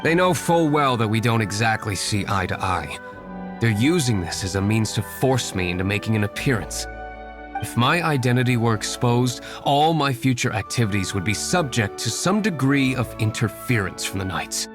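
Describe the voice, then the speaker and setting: An actor playing a cool, guarded young aristocratic vigilante in a video game, measured and matter-of-fact. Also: male voice, mid-range voice, lively intonation, music in the background, natural voice.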